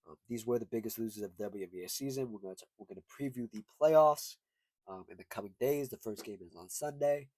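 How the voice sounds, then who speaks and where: A man's voice: lowish voice, fast talker, a little mellow, dry recording. A man with a congested voice giving casual, unscripted sports commentary as a video host, thinking aloud as he goes.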